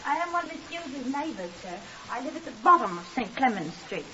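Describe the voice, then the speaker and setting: A talkative neighbour in an old radio drama. Her voice is high and swoops about as she rambles eagerly and deferentially. The vintage recording hisses. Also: big pitch swings, fairly quick, pushed and bright, full character voice. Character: chatty, deferential, rambling working-class neighbour woman. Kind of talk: 1950s radio drama acting. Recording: hissy, muffled 1950s radio recording.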